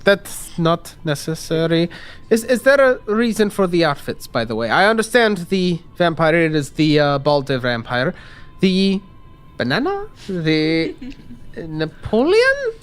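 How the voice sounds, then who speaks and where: A man's voice: a little high, big pitch swings, a little slow, clear, resonant tone. A man voicing a shady fixer in a tabletop game, formal and dry, with clipped, matter-of-fact phrasing. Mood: dry puzzlement. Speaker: game master. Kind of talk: tabletop roleplay.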